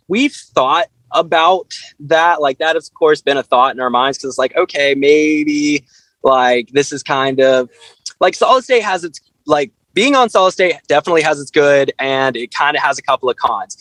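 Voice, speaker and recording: A man's voice: a little high, normal speed, fairly bright tone, dry recording, rough recording. A man speaking as an interview guest in casual podcast chat, blunt and candid, swearing freely and peppering his talk with "like". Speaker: band frontman, interview guest.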